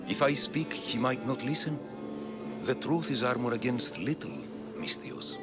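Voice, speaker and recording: A man playing a gruff, guilt-haunted collector in a video game, speaking in a brooding, clipped, cryptic way. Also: mid-range voice, animated, measured pace, loud music behind the voice, natural voice. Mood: guarded. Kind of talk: video game cutscene acting.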